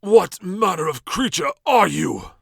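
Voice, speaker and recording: A man playing a bewildered hellspawn warrior with a croaky, husky voice in a comic dub. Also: sits high, big pitch swings, unhurried, a bit bright, no echo, studio quality, full character voice.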